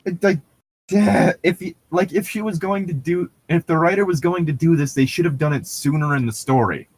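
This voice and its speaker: A man's voice: fairly high pitch, normal speed, darkish tone, husky. A man doing a mocking dramatic reading with sarcastic, profane ad-lib commentary and a giggly manner. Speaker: co-reader riffing on a horror story.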